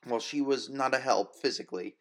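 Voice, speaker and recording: A man narrating first-person fiction as an audiobook reader, with a measured, wistful, literary delivery. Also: mid-range voice, fairly bright tone.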